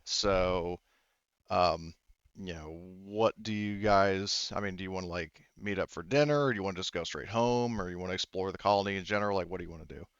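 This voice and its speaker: A man's voice: deep voice, brisk, clear, resonant tone, no room sound, dull audio. A man running a tabletop roleplaying game as game master, narrating in a rambling, hesitant way that often backtracks.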